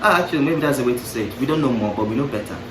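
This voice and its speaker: A man's voice: medium pitch, animated, rapid-fire. A young man musing casually to camera, breaking off into playful asides and restarting his thoughts. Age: young adult. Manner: musing, self-interrupting, playful asides with laughs. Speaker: vlogger. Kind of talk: casual reflective monologue to camera. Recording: roomy, distant mic, clear background noise.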